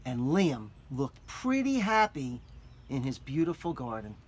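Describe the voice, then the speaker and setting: Man, children's read-aloud, gentle explainer, breathy, lively pitch. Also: a little slow. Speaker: teacher reading aloud.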